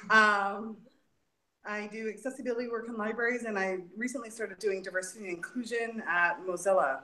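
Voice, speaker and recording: A woman's voice: medium pitch, fairly even intonation, fairly quick, pushed and bright, a little hiss or hum. A woman casually introducing herself as a panelist on a group video call. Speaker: panelist, diversity and accessibility worker. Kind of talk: casual, informal self-introduction. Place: high-ceilinged indoor room. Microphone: shared device on a group video call. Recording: echoey room on video call.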